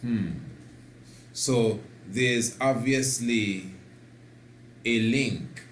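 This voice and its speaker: A man speaks slowly and deliberately, leaning hard on each point and climbing to excited peaks. His voice rings in a roomy, echoey space with a faint hiss of noise behind it. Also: mid-range voice. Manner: slow, emphatic, rises to excited declarations. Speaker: host. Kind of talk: preaching talk.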